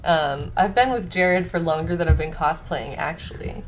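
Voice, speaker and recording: A woman's voice: deep voice, moderate pace, a little hiss or hum. A young woman chatting casually to viewers as a livestream host, playful and self-deprecating, with game music playing behind her. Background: video game remix music.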